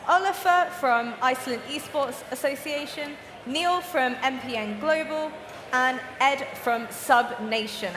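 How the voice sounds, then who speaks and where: A woman's voice: sits high, background noise. A woman introducing a conference session in a casual, chatty way. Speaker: event presenter. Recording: echoey, reverberant hall.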